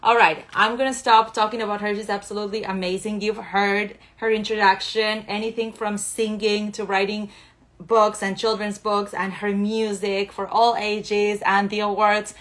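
A woman in her forties from Argentina, Spanish her first language, speaking English with an Argentine accent as she hosts a video-call interview from a lamp-lit living room, excited and stressing words slowly. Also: mid-range voice. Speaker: host. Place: living room indoors with lamps. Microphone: video call, phone or laptop camera.

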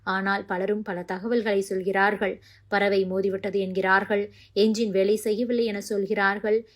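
A woman's voice: mid-range voice, flat delivery, normal speed. Woman narrating scripted news.

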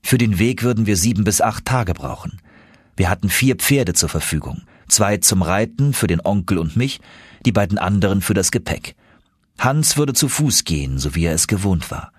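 Low, husky male audiobook narrator. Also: expressive, moderate pace, no room sound, clean studio sound. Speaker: audiobook reader. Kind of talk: scripted first-person audiobook narration of an adventure novel.

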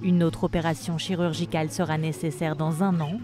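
Documentary voice-over: woman, low-pitched, measured read, music bed underneath. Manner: measured, even narration. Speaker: documentary narrator.

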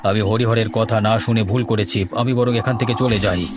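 A man's voice: on the low side, fairly even intonation, normal speed, background noise, close to an everyday voice. Man playing a stubborn old village headman. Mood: frightened, flustered. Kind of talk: horror cartoon acting.

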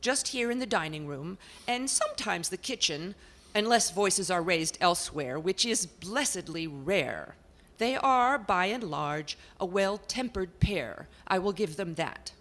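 A woman voicing a haughty long-dead lady in a painted portrait, prim, old-fashioned and loudly indignant. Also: expressive, a little slow. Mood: indignant chatter. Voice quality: exasperated, prim, loud. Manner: old-fashioned formal diction, shrill bursts.

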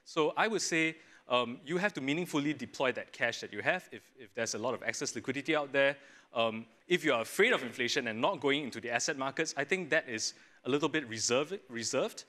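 A young man with a bright, crisp voice explains his view as a panel strategist. He talks fluently with small restarts, recorded on a lapel mic in a studio. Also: medium pitch, expressive, a little fast. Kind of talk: panel discussion answer. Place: studio stage set.

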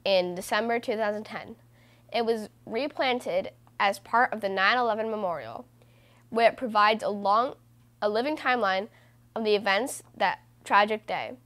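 A woman reading a children's book aloud as host, in a measured, deliberate way with stressed phrases. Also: medium pitch, a bit bright. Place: small room indoors.

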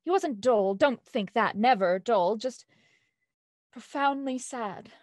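Woman playing a grieving young heiress, high-voiced and halting. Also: lively intonation, dry recording, studio quality. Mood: halting grief. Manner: halting, soft-spoken, polite. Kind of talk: audio drama acting.

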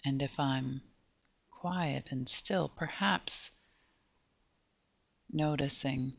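Slow, gentle woman guiding meditation. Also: very deep voice, vocal fry, no echo. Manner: gentle, unhurried, pausing between short phrases. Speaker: meditation guide. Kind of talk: guided body scan meditation.

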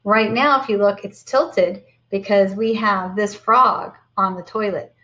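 A woman's voice: medium pitch, steady tone, a little slow, pushed and bright. A middle-aged American woman reading a picture book aloud to children and explaining it, in a sing-song way that stresses key words and rhymes. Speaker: primary school teacher reading aloud to children. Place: indoors at home, in front of a computer.

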